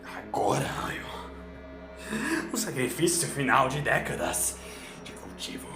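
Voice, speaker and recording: A man playing a gloating, megalomaniac cloaked villain in an audio drama, with theatrical, drawn-out delivery. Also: mid-range voice, huge pitch swings. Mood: sinister, gloating. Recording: echoing voice over music.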